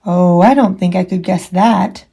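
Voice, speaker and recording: A woman voicing a big grown male hare from a children's storybook, patient and loving, speaking gently with emphasis. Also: lowish voice, a little slow, vocal fry, breathy edge, full character voice. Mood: tender, playful.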